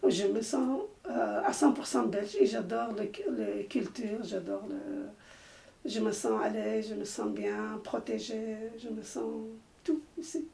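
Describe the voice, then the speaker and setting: A woman in her sixties, born in Turkey with Arabic as her first language, telling her life story haltingly with many restarts. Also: mid-range voice, fairly even intonation, creaky, warm tone. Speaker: interviewee, mother. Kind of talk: personal testimony. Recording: echoey room, voice from a distance.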